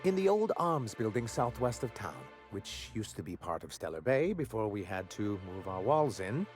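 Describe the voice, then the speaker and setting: A man's voice: fairly low pitch, very animated, moderate pace, soft background music. An actor playing a flamboyant, vain male corporate executive who talks in a theatrical, florid way full of innuendo. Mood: grandiloquent.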